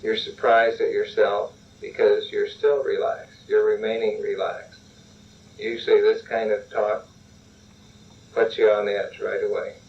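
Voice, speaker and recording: A man calmly guiding a relaxation and role-play exercise, repeatedly urging the listener to relax, in a dull lo-fi recording. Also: lowish voice, unhurried, far from the mic.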